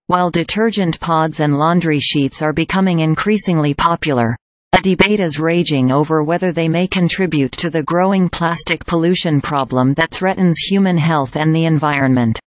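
A woman narrating a scripted news explainer in an even, measured read. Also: deep voice. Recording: voice-over with loud music bed.

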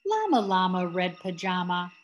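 A middle-aged to older woman reading a children's picture book aloud as a grandmother, expressively, with drawn-out emphasis on key words. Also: lowish voice, sing-song, muffled recording. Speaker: grandmother reading a bedtime story. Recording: music playing behind the voice. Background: music.

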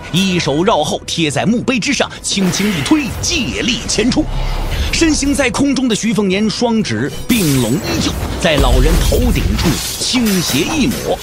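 A man narrates a wuxia story dramatically. His pitch is high and swings widely, with music playing behind him. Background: background music.

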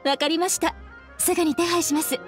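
A woman's voice: extremely high pitch, fairly even intonation, brisk, over music. A woman playing a kimono shopkeeper in an anime game, polite and practical in her service speech. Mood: helpful, businesslike.

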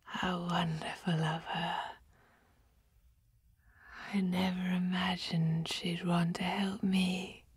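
Slow, whispering elf woman, acted by a woman. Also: on the low side, level tone, dry recording, studio quality, heavily acted. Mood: wondering, grateful. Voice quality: breathy whisper. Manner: slow, hushed, deliberate with long pauses. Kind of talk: whispered ASMR fantasy roleplay.

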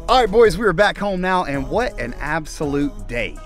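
A young man vlogging while fishing outdoors by the water, excitable and quick to laugh. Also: a little high, over music. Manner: excitable, shouting and laughing at catches.

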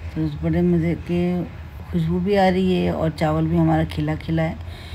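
A woman with a Pakistani Urdu accent hosting a home cooking tutorial, explaining each step in an unhurried, homely way with many fillers. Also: on the low side, level tone, mellow, a little hiss or hum. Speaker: cooking channel host. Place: home kitchen.

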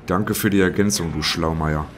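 A man's voice: low-pitched, fairly even intonation, normal speed, background noise, natural voice. A man playing a grumpy, sarcastic little police inspector with a bossy manner in a parody detective audio play.

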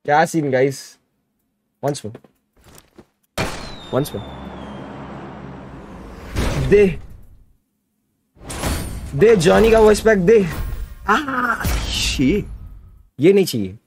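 Indian guy streaming games, voice swings all over, kinda creaky, repeats his slangy hype a lot. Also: high-pitched, extremely slow, long pauses, faint music behind. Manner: repetitive, animated slangy hype. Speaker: gaming streamer. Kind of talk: casual excited livestream chat. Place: indoor streaming setup at a desk.

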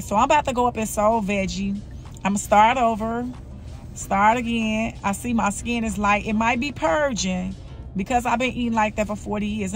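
A woman in her forties talking candidly to camera in a personal vlog, earnest and confessional, often repeating herself. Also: medium pitch. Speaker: vlogger.

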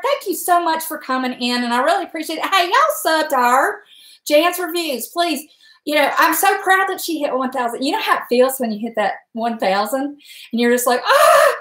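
A woman's voice: high voice, fairly bright tone. A woman in her forties with an Appalachian Virginia accent, chatting folksily with her live viewers while she cooks at the stove in her home kitchen. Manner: folksy, rambling, talks to chat viewers. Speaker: cooking live streamer. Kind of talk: chatty live-stream cooking talk. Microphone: webcam at stove. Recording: echoey kitchen.

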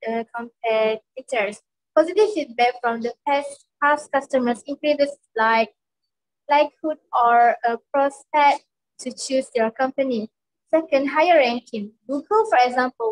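A woman's voice: high voice, low-quality recording. A young woman with a Malaysian accent reading a prepared panel answer over a video call, steadily listing points in order. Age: young adult. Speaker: student panelist. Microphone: laptop microphone on video call.

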